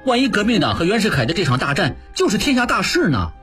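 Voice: mid-range voice, very animated, fast talker, a bit bright, faint music behind. A narrator playing a level-headed man who reasons emphatically with a companion in an audiobook. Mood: persuasive, emphatic.

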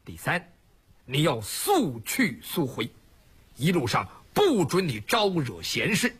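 A man storyteller voicing a calm, firm outlaw chief who admonishes like an elder brother in measured phrases. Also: on the high side, slightly rough, pitched below the performer's own voice, exaggerated voice. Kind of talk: traditional Chinese storytelling performance.

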